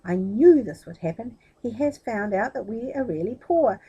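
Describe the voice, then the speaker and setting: A woman's voice: on the high side, animated, moderate pace, mellow, husky, highs cut off, heavily acted. A woman voicing a humble, anxious, devoted mother in a storybook tale. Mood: dismayed.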